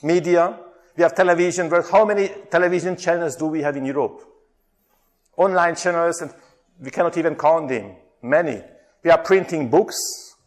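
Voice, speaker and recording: A man's voice: on the high side, moderate pace. A middle-aged man with a Hungarian accent giving a workshop talk, hesitant and chatty, putting questions to the audience. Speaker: workshop presenter, theology lecturer.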